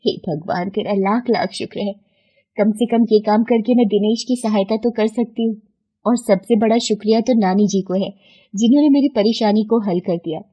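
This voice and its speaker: A woman voicing a devoted, grateful granddaughter with an earnest, expressive delivery in a moral story. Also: medium pitch, moderate pace, husky, close to an everyday voice, poor audio. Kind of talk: acted children's moral story.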